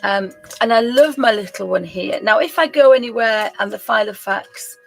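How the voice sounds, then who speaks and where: A croaky woman hosts a home live stream, talking in a loose, rambling way that often breaks into laughs. Her voice has a gravelly, rough edge and sits at an ordinary middle pitch. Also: moderate pace, faint music behind, low-quality recording. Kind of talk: casual live-stream chat.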